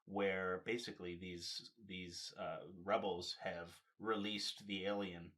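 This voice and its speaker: A man's voice: deep voice, level tone, takes it slowly, fairly bright tone. A man chatting casually about films and comics, explaining things in a loose, step-by-step storytelling way.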